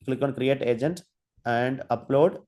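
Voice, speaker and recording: A man giving an online training lecture, halting, with many fillers and restarts while he demonstrates. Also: mid-range voice, dark tone. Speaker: guest lecturer.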